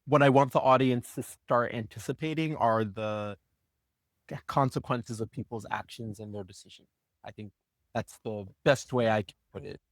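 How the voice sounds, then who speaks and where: Medium-pitched man in podcast discussion, dry sound. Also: moderate pace, no echo. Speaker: podcast panelist from the show's team. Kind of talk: analytical discussion of a drama episode.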